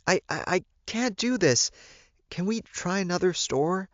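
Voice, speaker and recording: Man putting on an anxious, high-pitched woman. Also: lively intonation, unhurried, a bit bright, no room sound. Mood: anxious, declining. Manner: hesitant, polite. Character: anxious, apologetic young woman shopper.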